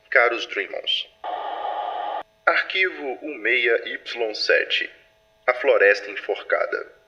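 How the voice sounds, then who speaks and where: Actor as male patrol chief, forceful crisp-edged voice, solemn, measured, scripted sci-fi archive narration, dull radio-like recording. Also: mid-range voice, close to an everyday voice. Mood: grave, ominous. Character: formal, authoritative male leader of a secret patrol narrating archives.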